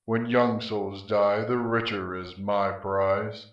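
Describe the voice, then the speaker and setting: A man's voice: fairly low pitch, level tone, takes it slowly. A man playing Death, a grim, scornful spirit, in archaic, declamatory verse drama. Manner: archaic, theatrical, defiant. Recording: muffled, echoey, distant from mic.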